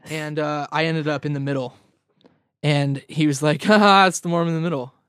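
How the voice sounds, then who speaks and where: A young man with a somewhat high voice talks loosely in an interview, tripping over and repeating his words as he goes. The sound is dry and close, with no room around it. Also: fairly even intonation, brisk, fairly bright tone, smooth, dry recording. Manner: rambling, repeats words, laughs often. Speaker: guest musician. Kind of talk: casual interview chat.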